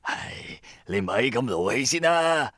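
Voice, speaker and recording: A man voicing a sly, wheedling old street fortune-teller in an audio drama, with theatrical drawn-out calls. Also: mid-range voice, big pitch swings, fairly bright tone, no room sound, clean studio sound. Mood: placating, wheedling. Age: older. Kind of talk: Cantonese audio drama character voice.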